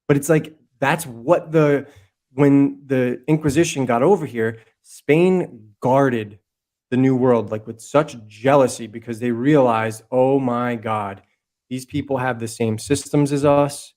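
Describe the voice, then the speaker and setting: A man in a heated podcast conversation, his mid-pitched voice pushing forward with passion. He rambles, restarts sentences and swears casually as he lays out his theories. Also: darkish tone. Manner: impassioned, profane, rambling with restarts. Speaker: podcast guest.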